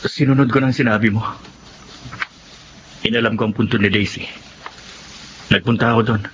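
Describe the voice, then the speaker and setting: A man's voice: fairly low pitch, steady tone, normal speed, close to an everyday voice. A man playing a remorseful young husband in a Filipino radio drama. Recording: dull lo-fi audio, faint noise.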